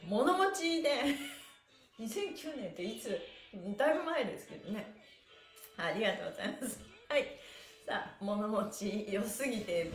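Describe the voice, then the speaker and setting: A middle-aged woman hosting a live stretching class in an animated, cheerleading way, chatting with viewers as she instructs. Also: a little high. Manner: animated, cheerleading, frequent laughing. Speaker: stretching instructor and livestream host. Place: small bare-walled indoor room with wooden floor. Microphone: camera a metre or two away. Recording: echoey room.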